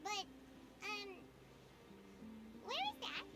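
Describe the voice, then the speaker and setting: A woman voicing a tiny, excitable female fairy companion in a video game. She talks about herself in the third person in a bright, squeaky voice. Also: extremely slow, faint music behind, exaggerated voice. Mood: chatty.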